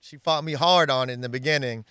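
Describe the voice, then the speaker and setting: A man's voice: medium pitch, fast, pushed and bright, smooth, no echo, clean studio sound. A man in his thirties from the US West Coast, a guest in an interview, talking earnestly and at length, often saying "right?" and "um". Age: 30s. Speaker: guest, real estate agent. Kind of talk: casual interview talk. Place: outdoors in a backyard.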